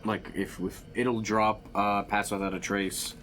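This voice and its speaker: A man's voice: fairly low pitch, flat delivery, a little slow, background noise. A man chatting casually as a player in a group tabletop game.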